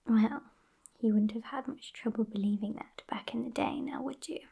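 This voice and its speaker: A woman in her twenties with a British accent playing a sassy, haughty witch in a breathy, unhurried ASMR whisper, close to the microphone. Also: a little high, steady tone, dark tone, dull audio. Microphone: close microphone just off camera.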